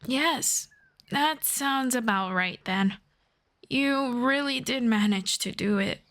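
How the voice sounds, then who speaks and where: Woman voicing a slow-spoken, gentle elf friend. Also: a little high. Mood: amused, teasing. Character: gentle, teasing young elf friend of the forest. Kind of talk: roleplay audio drama, ASMR.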